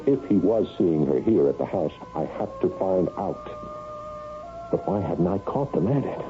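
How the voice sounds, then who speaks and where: A low-voiced, anxious meek husband. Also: male voice, very animated, moderate pace, dull audio. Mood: suspicious, brooding. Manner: stammering, hesitant when addressed. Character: meek, nervous, suspicious husband telling his own story. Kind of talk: old-time radio drama acting, first-person narration. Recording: band-limited radio broadcast with music behind.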